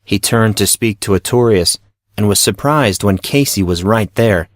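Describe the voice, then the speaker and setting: A man's voice: fairly low pitch, normal speed, dry recording, clean studio sound. A man reading scripted fiction as the narrator of a story.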